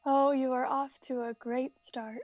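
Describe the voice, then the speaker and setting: A woman's voice: sits high, little pitch movement, a little slow, darkish tone, no echo, muffled recording. A woman acting as a tender, earnest young wife in a radio drama. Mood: lightly teasing. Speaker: actor.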